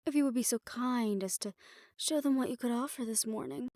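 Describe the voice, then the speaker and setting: A woman's voice: on the high side, brisk, dark tone, no echo, clean studio sound, natural voice. A woman playing a poised, imperious hotel manager in an audio roleplay, speaking formally and with polite condescension. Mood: courteous, composed. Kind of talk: scripted audio roleplay addressed to the listener.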